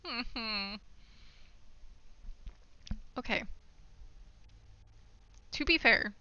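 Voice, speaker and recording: Woman game streamer, bright forceful voice, giddy and self-deprecating, casual rambling chat, close dry lo-fi mic. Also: fairly high pitch, normal speed, no room sound. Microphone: budget desktop microphone.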